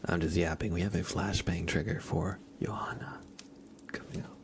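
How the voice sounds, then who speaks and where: A man whispers softly as an ASMR livestream host, his voice very low when it surfaces into a murmur. There is a gravelly creak to it. He talks informally and soothingly, as if guiding listeners toward rest. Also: steady tone, normal speed.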